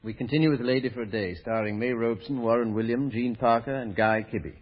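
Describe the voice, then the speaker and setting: A man's voice: medium pitch, fairly even intonation, a little fast. A man narrating a scripted 1930s radio drama as its presenter. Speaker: narrator and guest producer. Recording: muffled old radio recording. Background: music.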